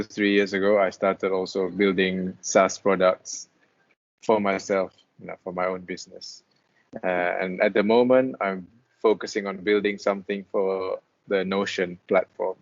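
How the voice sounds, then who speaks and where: A young man from Malaysia, a freelance web developer, talking casually on a video call as an interview guest, relaxed and hesitant, with many restarts. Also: low-pitched, measured pace. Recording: dull lo-fi call audio.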